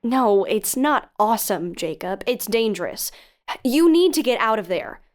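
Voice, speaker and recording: A woman's voice: a little high, animated, measured pace. An actress playing a cautious, analytical female researcher who investigates for a podcast, earnest and articulate, in an audio drama. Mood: worried. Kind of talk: audio drama acting, dialogue and narration.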